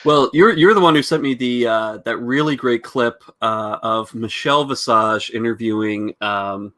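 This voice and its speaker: A man's voice: mid-range voice, normal speed, bright, forceful tone. A man co-hosting a casual podcast, playful and giggly, often hesitating with ums. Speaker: podcast co-host. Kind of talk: casual chat.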